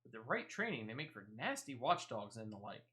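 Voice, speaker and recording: A man's voice: medium pitch, very animated, a little fast, pushed and bright. A man voicing a defensive, wheedling woman animal smuggler from a video game, in rustic speech with dropped letters. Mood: grumbling, defensive. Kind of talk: video game dialogue read aloud.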